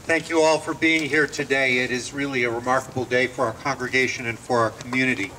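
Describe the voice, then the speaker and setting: A man's voice: mid-range voice, level tone, fast talker, bright, forceful tone. Male rabbi, slightly distant mic. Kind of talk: informal public address. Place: outdoors at a public ceremony. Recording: distant mic, faint outdoor noise.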